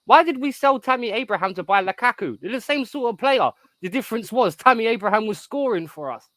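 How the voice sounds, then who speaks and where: A man's voice: very high voice, lively intonation, fairly quick, fairly bright tone, no echo. A man in his thirties talking football on a voice call. He argues emphatically and keeps checking in with "right?". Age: 30s. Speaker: guest on a live stream. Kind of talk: casual football chat.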